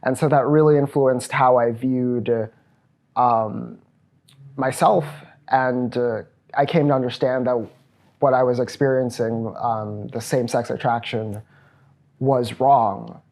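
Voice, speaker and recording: A man's voice: mid-range voice, steady tone, slow pace, a little mellow. A man in his thirties from Trinidad and Tobago, a programs director giving a reflective, earnest personal testimony at a virtual event. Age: 30s.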